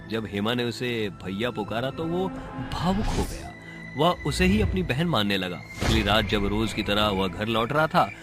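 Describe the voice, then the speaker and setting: A man narrating a cartoon horror story as a scripted storyteller. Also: mid-range voice. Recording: music behind the voice.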